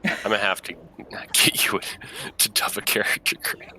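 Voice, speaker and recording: Very deep, bright-toned male commentator. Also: level tone, normal speed, slight background noise, poor audio. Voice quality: soothing voice. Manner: playful, self-deprecating, laughs often. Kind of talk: casual game commentary.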